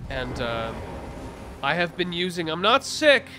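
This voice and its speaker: A young man streaming a game from a small home room, sounding nasal and congested, rambling and breaking into loud outbursts. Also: on the high side, big pitch swings, unhurried, a little hiss or hum. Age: young adult. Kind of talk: live gameplay commentary. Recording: game sound clearly underneath.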